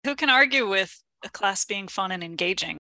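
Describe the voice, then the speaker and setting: A woman's voice: mid-range voice, lively intonation, normal speed, sharp tone, no room sound, rough recording. A woman co-hosting a podcast in a scripted, conversational style. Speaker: podcast co-host.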